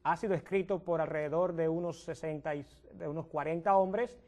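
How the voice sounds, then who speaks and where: Man, youth pastor host, slightly high voice, halting restarts, studio. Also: steady tone, darkish tone. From Dominican Republic. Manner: halting, restarts words, addresses viewers at home.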